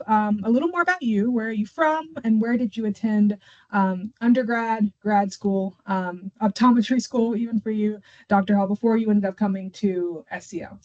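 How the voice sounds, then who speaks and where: A woman's voice: on the high side, darkish tone. A woman hosting an informational webinar as presenter, speaking over an online call. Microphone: online video call. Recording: dull, lo-fi webinar audio.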